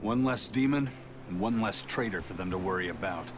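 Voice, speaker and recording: An actor playing a gruff, cynical fighting man in a video game. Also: deep voice, a little fast, noisy background, lightly acted. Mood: grim.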